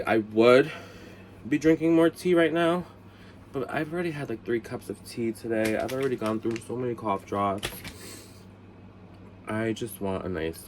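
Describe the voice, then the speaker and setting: Low-voiced man with a head cold. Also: a little hiss or hum. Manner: chatty, rambling, self-mocking asides. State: congested from a cold. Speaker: vlog host. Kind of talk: casual storytime chat.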